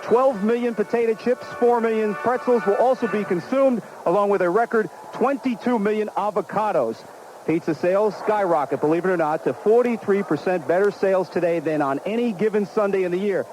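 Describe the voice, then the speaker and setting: A man announcing a pregame football broadcast on the radio, running through trivia in a brisk, fact-filled way. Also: sits high, noisy background.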